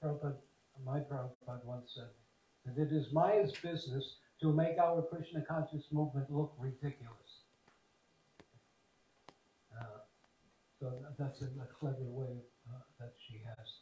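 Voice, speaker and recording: A man giving a devotional talk, wry and digressive, with halting fillers. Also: mid-range voice, fairly even intonation, mellow. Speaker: senior monk speaker. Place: temple hall. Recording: roomy hall, voice from far off, faint noise.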